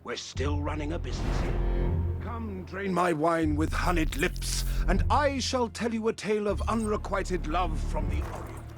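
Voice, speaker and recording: An English man playing a suave, flirtatious gentleman henchman in a video game, with a florid, theatrical drawl. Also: high voice, normal speed, over music, lightly acted. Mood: smooth command. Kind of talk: video game cutscene acting.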